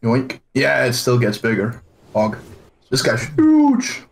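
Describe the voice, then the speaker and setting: A man's voice: medium pitch, huge pitch swings, slow pace, vocal fry, a little hiss or hum. A man from Denmark playing a card game over voice chat, sarcastic and self-mocking. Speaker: streamer, duo partner. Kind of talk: casual gaming chat.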